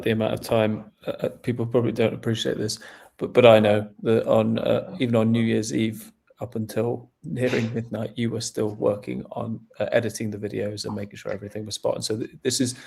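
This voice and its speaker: A man hosting a webinar, reading out viewer questions in a relaxed, joking way with some hesitation. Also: lowish voice, brisk, creaky. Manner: relaxed, jokey, hesitant with fillers. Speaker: webinar host. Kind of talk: webinar Q&A moderating.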